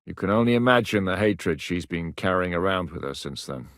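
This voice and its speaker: A gruff mercenary captain. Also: male voice, low-pitched, expressive, a little fast, a bit bright, no echo, studio quality. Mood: steady. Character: grizzled male mercenary captain, blunt and steady. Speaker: mercenary leader. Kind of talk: video game voice acting.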